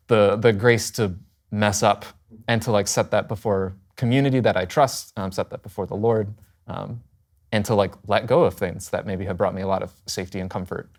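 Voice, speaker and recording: A man from Michigan sharing thoughtfully in a church panel, pausing with fillers. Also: fairly low pitch, creaky. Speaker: discussion panelist. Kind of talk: candid personal sharing.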